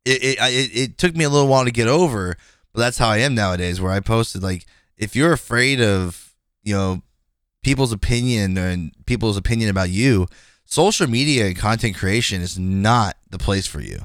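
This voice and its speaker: A man's voice: lowish voice, moderate pace, fairly bright tone, clean tone, no room sound, studio quality. A man in his twenties hosting a casual podcast conversation, speaking frankly and rambling with many fillers and restarts. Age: 20s. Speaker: podcast host.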